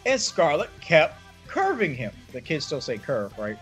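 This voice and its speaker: A man hosting a podcast, telling a story in a casual, animated and profane way. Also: on the high side, a little slow, faint music behind. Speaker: podcast host. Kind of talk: casual chat.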